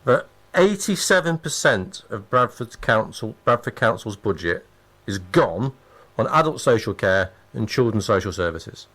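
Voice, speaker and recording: A man's voice: on the low side, very animated, a little slow, fairly bright tone. A man hosting a conversational political radio interview, speaking emphatically and repeating phrases to drive points home.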